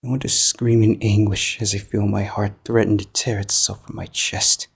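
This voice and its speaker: A man narrating fan fiction in the first person as an anguished, guilt-ridden living book, measured, halting and melodramatic. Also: fairly low pitch, little pitch movement, vocal fry, breathy edge, heavily acted. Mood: anguished, hollow.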